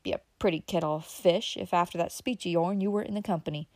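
A woman's voice: on the low side, quick pace, dry recording, lightly acted. A woman doing a blustering fat old country man. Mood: insistent. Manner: rural dialect, excitable, loud exclamations.

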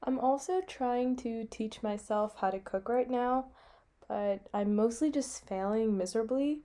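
A woman's voice: fairly high pitch, a little slow, dark tone. A young American woman, about eighteen, chatting casually as a podcast co-host. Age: young adult, about eighteen. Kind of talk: casual chat.

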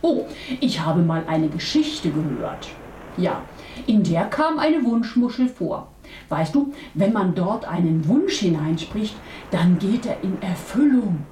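A woman's voice: fairly low pitch, big pitch swings, slow pace, dark tone, heavily acted. A woman voicing a kindly, easygoing male sea lion in a children's puppet play. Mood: helpful, musing. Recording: echoey room, distant mic, faint noise.

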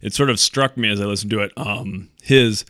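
A man's voice: on the low side, fairly quick, a bit bright, no echo, clean studio sound. A man co-hosting a podcast in casual chat, telling things in a laid-back, conversational way. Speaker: podcast host.

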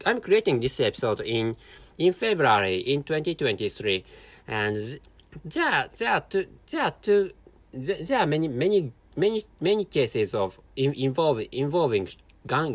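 Non-native male host, Japanese accent, weary and halting, animated pitch, casual solo talk. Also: no room sound. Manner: halting, many restarts and repeated words. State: tired. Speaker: podcast host.

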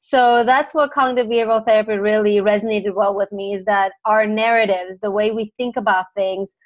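A woman's voice: mid-range voice, fairly even intonation, fairly quick, highs cut off. A middle-aged woman from Turkey speaking conversationally as an expert podcast guest, explaining things.

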